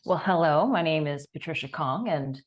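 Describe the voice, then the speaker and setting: A woman speaking as a podcast guest in a lively, good-humoured way. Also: deep voice, normal speed, lo-fi sound. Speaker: guest, author. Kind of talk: casual interview chat.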